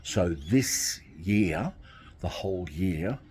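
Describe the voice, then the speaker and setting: A man's voice: low voice, expressive, extremely slow, darkish tone, a little hiss or hum. A man, talking casually to camera from outdoors by a garden, rambling and repeating himself. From Australia. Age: 60s. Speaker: vlogger.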